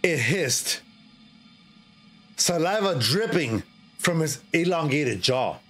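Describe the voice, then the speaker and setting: Middle-aged male horror storyteller: higher-pitched, theatrical, halting, lively intonation. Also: over loud music. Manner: theatrical, halting delivery with repeated phrases. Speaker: streamer, horror story reader. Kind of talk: dramatic storytelling read aloud.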